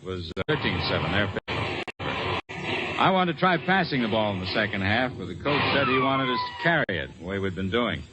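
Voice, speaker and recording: An American man playing a steady, thoughtful army captain in an old-time radio drama, courteous and measured in manner. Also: fairly low pitch, heavy background noise. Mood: calm, reflective.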